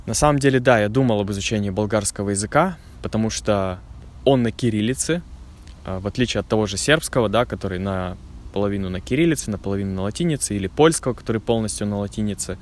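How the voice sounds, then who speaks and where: A man talking casually to language learners while outdoors in a forest, speaking clearly and unhurriedly. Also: on the low side, fast talker. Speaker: language teacher vlogger. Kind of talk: casual vlog talk to learners.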